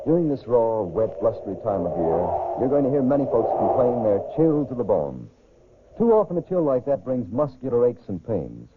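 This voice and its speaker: A man reading a scripted advertisement as a 1940s radio commercial announcer. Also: mid-range voice. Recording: tinny band-limited 1940s radio recording.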